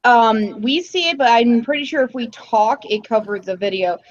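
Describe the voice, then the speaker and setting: A woman's voice: on the high side, normal speed, highs cut off. A woman co-hosting a casual livestream, chatty and amused as she reacts to the videos. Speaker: podcast co-host.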